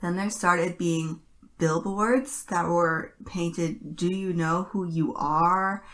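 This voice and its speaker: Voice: medium pitch, steady tone, takes it slowly, fairly bright tone. A podcast host chatting casually and enthusiastically, in a gushing fan style.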